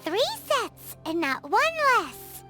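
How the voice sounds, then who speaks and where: A woman's voice: extremely high pitch, sing-song, slow pace, pushed and bright, faint music behind, exaggerated voice. A woman voicing a tiny, chirpy, childlike fairy companion with a curious, cheeky manner in a video game. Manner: refers to self in third person, eager, exclamatory. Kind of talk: English video game voice acting.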